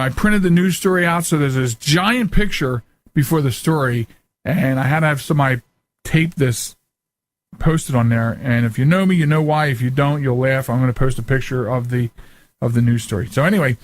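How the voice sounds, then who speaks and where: A middle-aged man hosting a talk show in a casual, rambling monologue, often interrupting himself and wandering off on asides. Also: medium pitch. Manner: chatty, digressive, self-interrupting.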